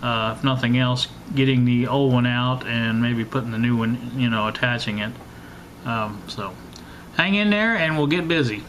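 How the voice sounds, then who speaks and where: A man's voice: medium pitch, moderate pace, fairly bright tone, rough recording. A man in his sixties giving a casual, unscripted how-to talk in a hesitant, rambling way, as a hobbyist repair host. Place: kitchen at home. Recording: clear background noise, amateur home video.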